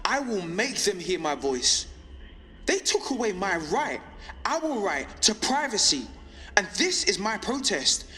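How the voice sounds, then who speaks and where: An actor playing a defiant, lonely young male rebel on pirate radio in an audio drama, speaking intensely and deliberately in short rhythmic phrases. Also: high-pitched, big pitch swings, sharp tone, heavily acted. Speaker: underground radio broadcaster. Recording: reverberant space with background noise.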